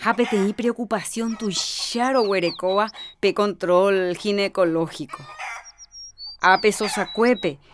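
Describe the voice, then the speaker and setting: A woman's voice: medium pitch, fairly even intonation, slow pace, background noise, close to an everyday voice. An actress playing an earnest woman health professional visiting a village in a radio soap opera.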